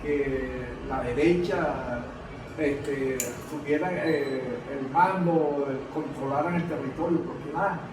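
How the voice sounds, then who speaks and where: A high male voice with a Venezuelan accent rings in a very reverberant room, with clear noise around it. He speaks haltingly in an informal political discussion, doubling words as he works toward his point. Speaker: political analyst on a panel. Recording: very echoey room, distant mic, noisy.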